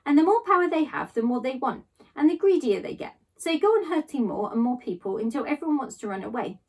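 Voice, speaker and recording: Storybook mum played by a woman reader, higher pitch, gentle, patient, earnest, tender, slightly distant from the mic. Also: sing-song, fast talker, heavily acted. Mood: earnest, sad. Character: gentle, patient mum explaining to her child.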